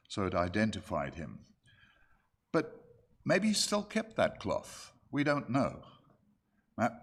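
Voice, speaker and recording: Measured man preaching a sermon. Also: mid-range voice. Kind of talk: sermon, expository Bible teaching with scripture reading.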